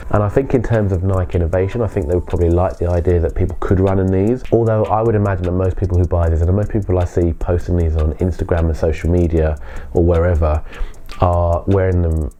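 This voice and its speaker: A man's voice: low voice, fairly even intonation, fast talker, dark tone. A British man, congested with a cold, giving a casual product review to camera as a sneaker reviewer.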